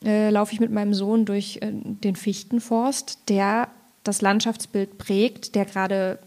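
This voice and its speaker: Female author, conversational and reflective, with a relaxed, mellow tone and little swing in pitch. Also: measured pace. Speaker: author, guest at a reading event. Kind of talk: conversational literary discussion with passages read aloud.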